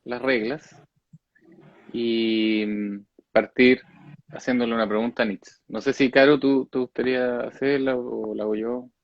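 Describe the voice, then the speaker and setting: A man's voice: medium pitch, little pitch movement, unhurried, no echo. A man co-hosting an interview in a casual conversational way, heard through dull, dry, lo-fi audio. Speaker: interviewer, co-host.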